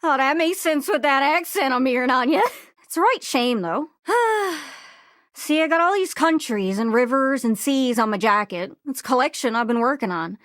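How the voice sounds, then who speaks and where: A young, excitable globe come to life in a video game. Her voice is very high and leaps around with lively intonation, recorded close and dry. She has a Newfoundland accent and a friendly, folksy, curious way of rambling on. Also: normal speed, dry recording. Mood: curious, chatty. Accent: Newfoundland Canadian. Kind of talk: video game character acting.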